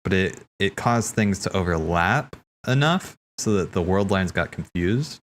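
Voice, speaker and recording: A man streaming casual, rambling commentary on game cutscenes, thinking aloud in a halting way and repeating himself. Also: low voice, lively intonation, slight background noise.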